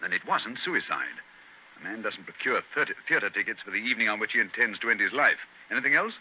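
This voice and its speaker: An actor playing an impatient, imperious English gentleman detective in an old-time radio drama, theatrical, brisk and condescending. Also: fairly low pitch, sing-song. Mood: keenly probing. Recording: tinny, noisy old radio recording.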